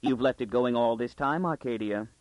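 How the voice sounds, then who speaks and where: A man's voice: medium pitch, normal speed, no echo, lightly acted. A man voicing a composed father and doctor in a storybook, formal and sweetly reproving. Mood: calmly reproving. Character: composed, gently stern father, a learned doctor.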